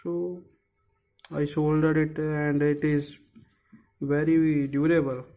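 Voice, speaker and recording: Slow, halting man. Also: fairly high pitch, little pitch movement, mellow. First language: Punjabi. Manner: hesitant, searching for words, many fillers. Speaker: DIY maker. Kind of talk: casual how-to narration.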